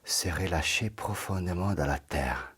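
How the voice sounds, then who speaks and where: A man leading a guided yoga nidra meditation in a soft, calm voice that often drops into a half-whisper. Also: low voice, unhurried, breathy edge.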